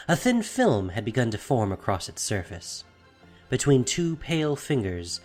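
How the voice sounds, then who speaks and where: A man narrating a fantasy audio drama from a script in a dramatic storytelling style. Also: medium pitch.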